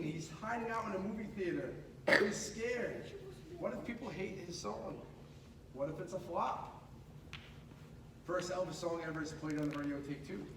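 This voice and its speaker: Echoey high-voiced man reading a storybook aloud. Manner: dramatic, with pauses and drawn-out emphasis. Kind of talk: animated read-aloud of a children's picture book. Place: echoey room at a school. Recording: echoey room, distant mic, clear background noise.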